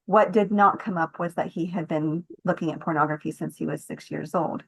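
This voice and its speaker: A woman's voice: sits low, fairly even intonation, fairly quick, vocal fry, breathy edge. A middle-aged woman giving personal testimony as a podcast guest in conversation. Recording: muffled, slightly echoey room sound.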